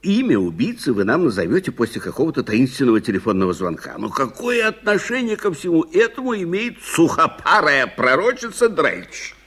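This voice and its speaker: An actor in a 1980s Soviet radio drama playing an impatient, hot-tempered gentleman who fires insistent questions. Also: on the high side, sing-song, a little fast, few pauses, breathy edge, full character voice. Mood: exasperated.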